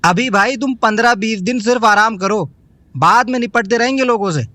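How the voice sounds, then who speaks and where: A man plays a bossy but caring buddy in an audio drama. The voice is high for a man and sharply bright, brisk in manner, with a very dry sound. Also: no room sound.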